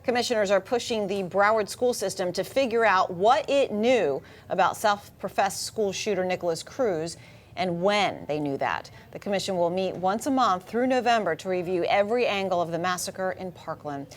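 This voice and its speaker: A woman with an American accent reading scripted news as a television anchor. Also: mid-range voice.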